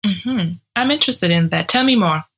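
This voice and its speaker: A woman's voice: medium pitch, animated, moderate pace. A woman co-hosting a podcast, asking curious, prompting questions. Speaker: podcast co-host. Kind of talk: scripted podcast conversation.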